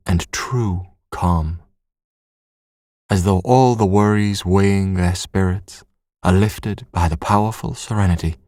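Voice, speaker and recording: A man narrating a scripted sleep story in a soothing, measured, hushed bedtime manner. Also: sits low, no room sound, clean studio sound.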